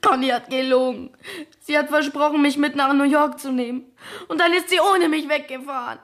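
A woman's voice: sits high, fairly even intonation, moderate pace, fairly bright tone. A woman playing an upset, defiant young child in a radio crime drama, pleading and aggrieved. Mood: sulky, hurt.

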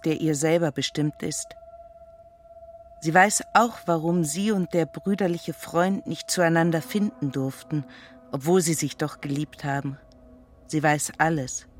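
A woman narrating a literary radio drama in a solemn, measured and dramatic manner. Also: low-pitched.

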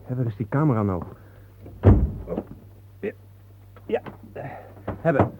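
A male actor in a Dutch radio play, playing a skeptical, excitable photographer who talks bluntly and colloquially, full of questions. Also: medium pitch, takes it slowly. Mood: doubting, curious. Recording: noisy, thin phone-like old radio recording.

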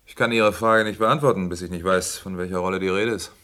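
A man playing an imposing, gruff captain in a German radio crime drama, brusque and coolly menacing. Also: low-pitched, fairly even intonation, fast, pushed and bright. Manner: brusque, controlled, dry.

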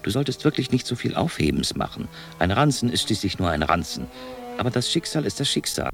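A man's voice: on the low side, sing-song, brisk, gravelly. An actor playing a grumpy, imperious god of fate in a radio play, pompous and scolding in his speech. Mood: lofty, admonishing.

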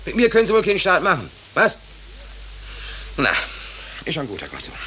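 An actor playing a stranded, stubborn sailor in a 1940s German radio drama, plain-spoken and colloquial. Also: male voice, a little high, huge pitch swings, a little fast, pushed and bright, heavily acted. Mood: bitter, indignant. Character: stranded, stubborn American sailor without papers, naive and indignant. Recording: hissy old radio drama recording.

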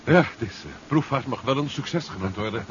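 An actor playing a bold, determined adventurer in a 1970s science fiction radio drama, speaking briskly and urgently. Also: male voice, medium pitch, expressive, natural voice. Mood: relieved. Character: bold, determined male adventurer in a sci-fi serial. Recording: dull, noisy 1970s radio play recording.

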